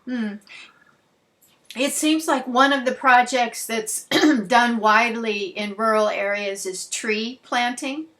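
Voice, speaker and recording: A woman's voice: a little high, takes it slowly, fairly bright tone. A woman, asking questions conversationally as an interviewer.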